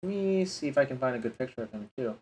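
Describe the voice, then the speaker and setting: A man's voice: medium pitch, animated, quick pace, a little mellow, slight background noise. A man streaming a card game, talking casually to his chat and grumbling under his breath over his plays. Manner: frustrated, muttering to himself and chat.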